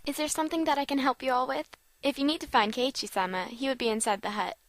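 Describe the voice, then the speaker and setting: A woman's voice: high voice, fairly even intonation, fast, no echo, close to an everyday voice. A woman playing a polite, graceful young maid in an audio drama, formal and deferential. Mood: gentle, deferential.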